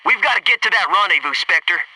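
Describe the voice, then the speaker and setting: A gung-ho American special forces soldier in a video game. His voice sits high and swings widely as he delivers clipped battlefield talk. He is brash and wry, eager to blow things up. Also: animated, a little fast, pushed and bright, distant mic, slight background noise, exaggerated voice, low-quality recording. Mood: urgent, businesslike. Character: brash wisecracking American military commando, eager for demolition. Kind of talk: video game voice acting, tactical battlefield barks.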